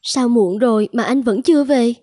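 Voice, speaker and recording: A woman's voice: on the high side, normal speed, warm tone, no echo, natural voice. A woman voicing a weary, reproachful young wife and mother in a cartoon, speaking plaintively. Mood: hurt, reproachful. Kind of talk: cartoon dubbing.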